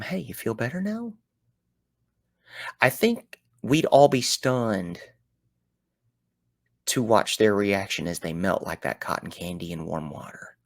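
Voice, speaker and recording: A man with a Southern US accent talking through his thoughts in a reflective solo show, animated, with folksy turns of phrase and sudden loud bursts. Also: fairly low pitch, no room sound, studio quality. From American South. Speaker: podcast host.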